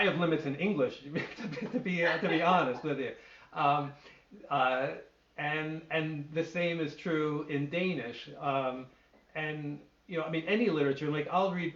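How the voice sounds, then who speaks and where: A man's voice: a little high, a bit bright, slight background noise. A middle-aged man, a translator, answering questions on a video call in a thoughtful way, often restarting as he searches for words. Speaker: literary translator and poet. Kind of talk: answering questions at an online reading. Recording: echoey, dull lo-fi call audio.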